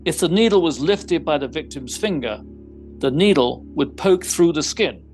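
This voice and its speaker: Man acting eloquent, animated gentleman detective. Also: on the high side, moderate pace, faint music behind, natural voice. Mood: accusing, deductive. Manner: formal, precise, theatrical phrasing. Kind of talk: audio theater mystery acting.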